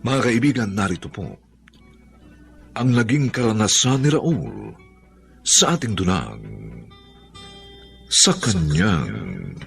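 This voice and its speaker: A man's voice: fairly low pitch, big pitch swings, takes it slowly, gravelly, pauses often. A man narrating a radio drama in a solemn, theatrical, poetic scripted style. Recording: faint music bed under voice.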